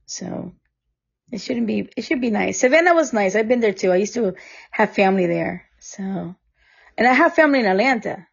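A woman's voice: medium pitch, lively intonation, moderate pace, gravelly, breathy edge. Chatty woman storyteller on a dull lo-fi line. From Costa Rica. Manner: chatty storyteller who laughs often and acts out what others said. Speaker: podcast host. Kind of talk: casual chat over a recording app. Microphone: remote recording app.

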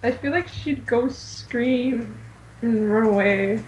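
A woman's voice: fairly high pitch, slow pace, a little mellow, low-quality recording. A young woman co-hosting a podcast, chatting casually in informal, filler-heavy speech. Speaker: podcast co-host. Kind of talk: casual chat.

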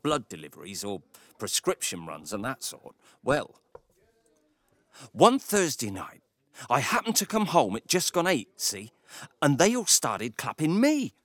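Grumpy cabbie in a radio play, wry and confiding, voice goes up and down loads, dry close sound. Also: male voice, sits high, a bit bright, no room sound, exaggerated voice. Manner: wry, grumbling, confiding storytelling. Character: grumpy, reserved Cornish male taxi driver, reluctant local hero. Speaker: actor in an audio drama. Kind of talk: audio drama monologue.

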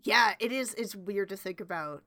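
A woman's voice: mid-range voice, normal speed, creaky, bright, forceful tone. A young woman with a stuffy, congested voice from a cold, co-hosting a podcast in a chatty, self-mocking way with casual swearing. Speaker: podcast co-host.

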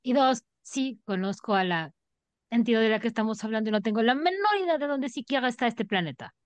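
A man voicing a proud, defensive French woman agent with a French accent, firing back quick retorts in an audio drama. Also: very high voice, expressive, smooth, no room sound, voice pushed up.

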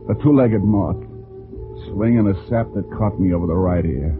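An actor playing a wry, wisecracking hard-boiled detective, hesitant and dryly humorous, in 1940s radio style. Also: male voice, sits low, moderate pace, close to an everyday voice. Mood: wry narrating. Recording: tinny 1940s radio, music behind.

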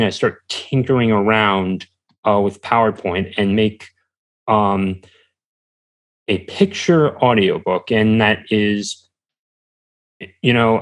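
A young man answering as an interview guest, talking casually in a hesitant, halting way. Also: lowish voice.

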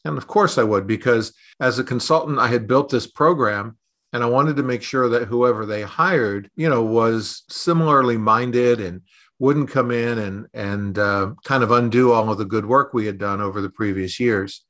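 A man's voice: mid-range voice, normal speed, fairly bright tone. A middle-aged man with a mid-range voice speaks on a podcast. He moves between prepared introductions and conversational answers as a host and consultant. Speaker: podcast host, consultant being interviewed as guest.

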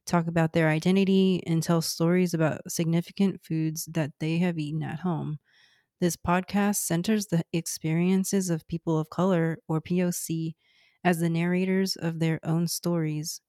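A woman in her thirties, whose first language is Cantonese, reads a scripted podcast introduction in a measured, earnest way. Her voice sits low for a woman. The recording is dry and close, with no room sound. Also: fairly even intonation, dark tone, dry recording. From Houston, Texas, US. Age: 30s. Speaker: podcast host.

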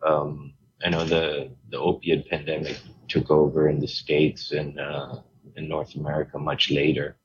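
A middle-aged man from Hong Kong, a guest telling his story in a relaxed, rambling way with many fillers. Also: very deep voice, moderate pace, muffled recording, background noise. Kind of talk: casual interview talk.

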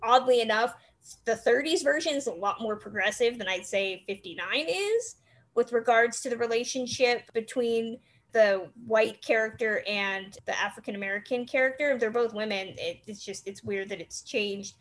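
Woman podcast host, kinda high voice, animated casual movie chat w wry asides. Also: moderate pace. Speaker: host of a film discussion podcast. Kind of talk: casual film discussion.

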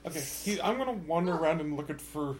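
A woman's voice: low voice, animated, quick pace, crowd noise. A woman player talking casually at a tabletop game. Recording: prominent music behind the voice.

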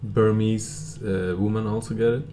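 A man co-hosting a podcast in casual chat, explaining things in a halting, hesitant way. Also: on the low side, flat delivery, mellow, a little hiss or hum. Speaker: podcast co-host.

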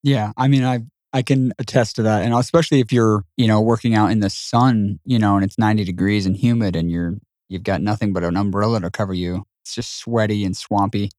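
A man's voice: fairly low pitch, fairly even intonation, fairly quick, darkish tone, dry recording, clean studio sound. A man hosting a podcast, chatting casually and agreeably with his guest. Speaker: podcast host.

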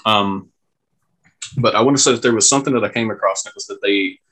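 A man's voice: on the low side, fast, fairly bright tone, poor audio. A man chatting casually as a podcast co-host, hesitant and often searching for his words. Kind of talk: casual chat.

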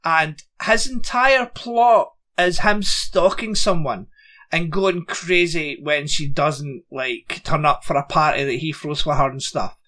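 Brief: podcast co-host, 40s, male, rambly and dry, higher voice with bright tone. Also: a little slow. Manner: rambling, dry, laughs often. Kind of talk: casual chat.

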